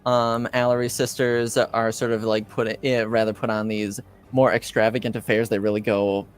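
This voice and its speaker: A man improvising as game master in a tabletop roleplaying game, hesitant and halting as he searches for words. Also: fairly low pitch, little pitch movement, smooth, soft background music.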